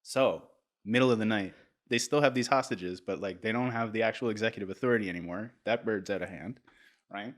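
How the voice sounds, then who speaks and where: A man hosting a podcast, telling history in a casual, animated way, peppered with "like" and "right?". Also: fairly low pitch, brisk. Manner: animated, filler-heavy, keeps checking in with "right?". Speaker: podcast host.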